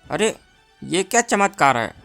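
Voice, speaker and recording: A woman's voice: deep voice, very animated, unhurried, gravelly, a bit bright, soft background music, heavily acted. A woman voicing an honest, earnest village milkman and father in a moral-story cartoon, given to exclaiming. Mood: amazed.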